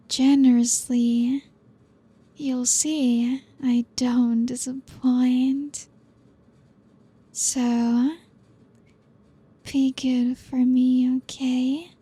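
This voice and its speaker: A woman playing a slow, possessive vampire temptress. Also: high voice, breathy edge, exaggerated voice. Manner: slow, soft, doting and controlling. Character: possessive seductive female vampire, teasing and doting. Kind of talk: ASMR roleplay.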